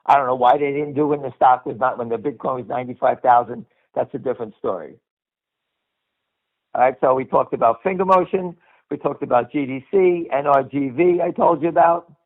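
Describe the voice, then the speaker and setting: A man's voice: mid-range voice, brisk. A middle-aged or older man hosting a stock market call with unscripted, opinionated commentary, speaking bluntly and emphatically, with swearing and repeated phrases. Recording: muffled lo-fi radio-like call audio.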